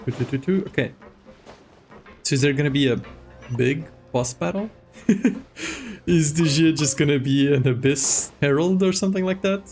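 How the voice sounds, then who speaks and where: A man's voice: on the high side, animated, normal speed, pauses often, a little mellow, soft background music. A man giving casual live game commentary as a streamer, in a rambling, joking, informal way.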